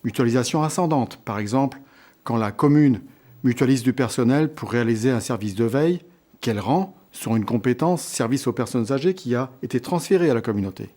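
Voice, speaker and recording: A man reading a scripted instructional presentation in a measured, structured way, setting out points in short phrases. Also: mid-range voice, lively intonation, dark tone. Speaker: lecturer presenting on local governance.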